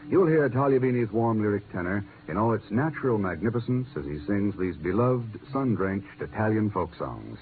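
Polished male announcer on tinny old radio. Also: on the low side. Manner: polished, emphatic. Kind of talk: 1950s scripted radio advertising. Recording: narrow, noisy old radio recording.

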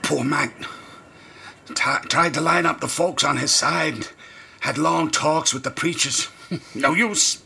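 Rambling old sailor, harshly bright. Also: male voice, on the high side, gravelly, slight background noise, full character voice. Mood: frenzied, accusing. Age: older. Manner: folksy, dropped grammar, dramatic whispers rising to shrieks. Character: rambling, half-crazed old drunken sailor, secretive and fearful. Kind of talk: radio drama monologue.

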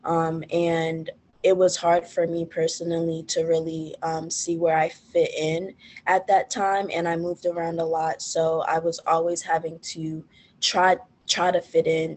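A woman in her twenties, born in Haiti, talks as an interview guest over a video call, pausing often with "um". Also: lowish voice, a little mellow. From Haiti, raised in the US Northeast. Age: 20s. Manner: hesitant, many "um"s, thoughtful. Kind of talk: casual interview talk.

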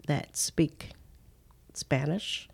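An older woman from the Caribbean, a guest in an interview, speaking unhurriedly and halting with fillers. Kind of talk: casual interview chat.

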